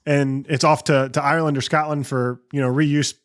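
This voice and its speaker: A man's voice: a little high, flat delivery, moderate pace, clean tone. A man hosting a podcast, chatting and asking long, winding questions. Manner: conversational, asks long rambling questions. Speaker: podcast host. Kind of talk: casual podcast interview.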